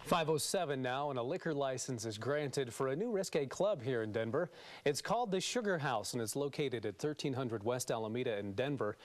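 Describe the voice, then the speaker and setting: A man's voice: medium pitch, expressive, a little fast. American man anchoring morning news. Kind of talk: scripted morning news narration.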